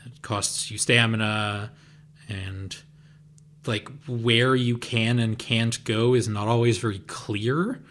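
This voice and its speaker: Man, lowish voice, easygoing podcast co-host chat. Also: fairly even intonation, slow pace, a bit bright. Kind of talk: casual chat.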